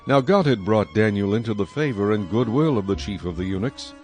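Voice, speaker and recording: Low-voiced solemn man narrating scripture. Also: expressive, fairly quick, darkish tone. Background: faint music.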